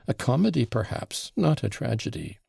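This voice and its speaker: A man reading aloud and voicing a capricious, imperious teenage girl who is sharp, bossy and mocking. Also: medium pitch, sing-song, normal speed, gravelly, slightly rough, dry recording, clean studio sound, voice pushed up, exaggerated voice. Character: capricious, imperious invalid girl in her teens.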